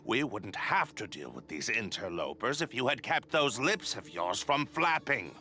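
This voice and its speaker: A man's voice: mid-range voice, big pitch swings, moderate pace, bright, forceful tone. A man playing a shifty, irritable, falsely polite expedition boss in a video game, with a nervous, evasive manner. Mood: irritated, scolding.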